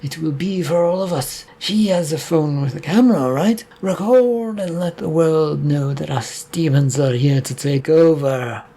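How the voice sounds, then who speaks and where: A man voicing a sly, scheming male demon who taunts and coaxes in a drawn-out way. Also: on the high side, warm tone, slightly rough. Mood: coaxing sly.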